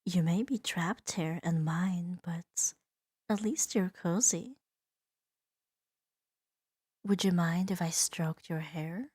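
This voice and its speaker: A woman voicing a seductive, possessive female demon in an intimate ASMR roleplay, teasing and soothing with soft dominance. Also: lowish voice, takes it slowly, pauses often, slightly rough. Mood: tender, hushed. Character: seductive, possessive female demon doting on her new pet.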